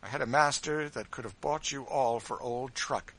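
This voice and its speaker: A man's voice: medium pitch, normal speed, fairly bright tone, pitched above the performer's own voice. A man voicing a proud, easily affronted man in an audiobook, haughty and indignant. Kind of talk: audiobook character voice.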